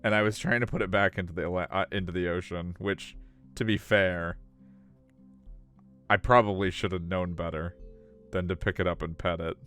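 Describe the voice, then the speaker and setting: Deep, smooth-voiced male game streamer. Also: steady tone, normal speed, long pauses, fairly bright tone, soft background music. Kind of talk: live stream chat and visual novel narration.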